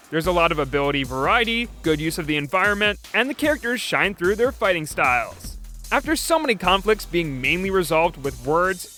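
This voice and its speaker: A man's voice: sits high, bright, forceful tone. A man reading a scripted video review as its host and narrator. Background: background music.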